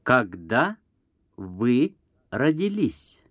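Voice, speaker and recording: A man reads short lesson phrases at a very slow pace, pausing between words before repeating each one whole. His pitch moves expressively, and the recording is dry and close. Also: big pitch swings, a bit bright, dry recording. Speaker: language lesson speaker. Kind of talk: slow, careful phrase reading with repetition.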